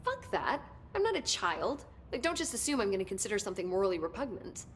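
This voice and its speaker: An actress playing an earnest, sharp-witted research scientist in a video game, articulate and clinical in her wording. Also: mid-range voice, sing-song, quick pace, a little hiss or hum, natural voice. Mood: indignant. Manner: articulate, clinical wording, emphatic when stirred. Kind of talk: naturalistic video game acting.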